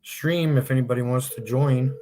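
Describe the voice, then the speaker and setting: A man's voice: mid-range voice, fairly even intonation, moderate pace, dark tone. A man with a Canadian accent, live streaming as he makes a prank phone call and then rants in a mocking, sarcastic way.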